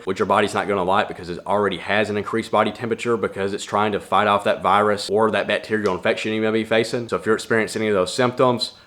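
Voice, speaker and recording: Fast-talking man with a low voice. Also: fairly bright tone. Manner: conversational, earnest, plainspoken. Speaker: fitness vlogger. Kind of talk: casual advice talk to camera. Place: private home gym.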